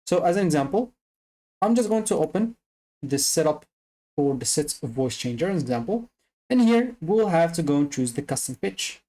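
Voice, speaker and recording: A tutorial presenter giving a casual, loosely improvised software walkthrough with repetitive explanations. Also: mid-range voice.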